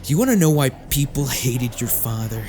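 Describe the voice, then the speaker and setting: Mid-pitched male voice, actor as stern exorcist mentor, blunt, weighty delivery, fantasy audiobook, prominent music behind. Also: animated, normal speed, mellow, close to an everyday voice. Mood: stern, grave. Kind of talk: dramatic audiobook dialogue.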